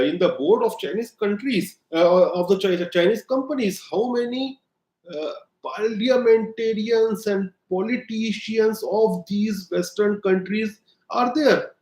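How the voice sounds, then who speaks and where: A man's voice: high voice, echoey room, low-quality recording. A man with an Indian accent, a native Hindi speaker, giving blunt, emphatic political commentary with frequent "uh" fillers. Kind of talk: solo commentary monologue.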